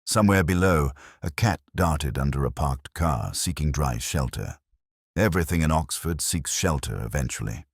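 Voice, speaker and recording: A man playing a weary, melancholy older police detective, narrating an audio drama in a measured, reflective, literary way. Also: very deep voice, expressive, breathy edge, dry recording, studio quality, heavily acted. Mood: brooding, reflective.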